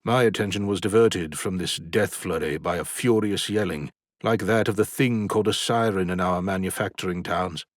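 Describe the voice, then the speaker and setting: A man's voice: deep voice, normal speed, no echo, clean studio sound. A man narrating a classic novel as an audiobook, intense and dramatic, climbing to urgent peaks in the action scenes.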